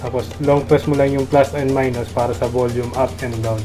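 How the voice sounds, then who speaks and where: A man's voice: medium pitch, level tone, brisk. Filipino man giving a casual tutorial, music behind. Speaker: product demonstrator. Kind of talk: casual step-by-step product tutorial.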